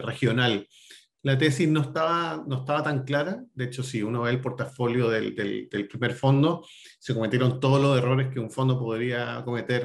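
A Chilean man in his thirties, a venture capital partner, speaking loosely in a casual interview with many fillers and restarts. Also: mid-range voice. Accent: Chilean Spanish.

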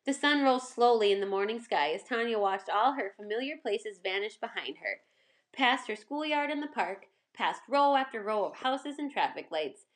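A woman reading a children's story aloud in expressive scripted narration. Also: a little high.

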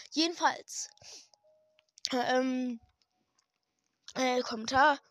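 Hoarse, slow-talking young woman gamer. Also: high voice, fairly bright tone, no echo. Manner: hesitant, rambling, many fillers and restarts. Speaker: gaming channel host. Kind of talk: casual gameplay commentary. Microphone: desk microphone.

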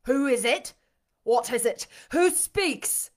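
A woman voicing a desperate, passionate blind gentleman in an audiobook, with a dramatic, demanding delivery. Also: medium pitch, takes it slowly, gravelly. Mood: urgent, demanding. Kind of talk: audiobook character acting.

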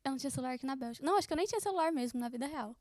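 Young woman chatting on a couple podcast, high voice, laughs and teases, dry close sound. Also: very fast, no room sound. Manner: laughing, teasing, lively storytelling. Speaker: podcast co-host. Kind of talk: casual couple podcast chat.

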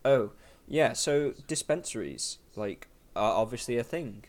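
A man's voice: medium pitch, lively intonation, a little slow. A man hosting a casual podcast chat, rambling with lots of fillers and restarts. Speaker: podcast host.

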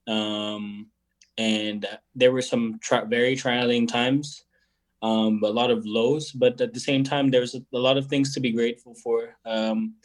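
Reflective man, halting speech. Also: medium pitch. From Philippines. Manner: reflective, hesitant, many fillers and restarts. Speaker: guest musician. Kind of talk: casual interview answers.

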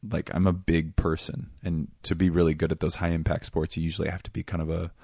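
A man from Seattle, a comedian guest in casual interview chat, talking in a rambling, self-deprecating way with a voice that is getting raspy. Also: rumbling low, little pitch movement, fast, a little mellow, no echo, clean studio sound. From Seattle area, USA.

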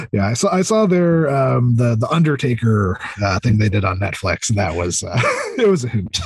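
Husky, animated man chatting casually. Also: medium pitch, moderate pace, dry recording. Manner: enthusiastic, informal, rambling. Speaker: podcast guest, audio drama creator. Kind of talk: casual interview chat.

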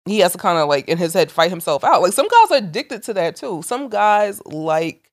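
A woman's voice: fairly low pitch, very animated, moderate pace. A woman in her thirties with a big, blunt voice, co-hosting a casual podcast chat. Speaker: podcast co-host.

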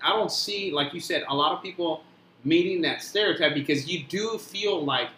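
A man's voice: on the high side, normal speed, sharp tone, a little hiss or hum. A man telling playful, profane stories in a casual podcast chat. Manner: boisterous, playful, profane storytelling. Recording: roomy echo.

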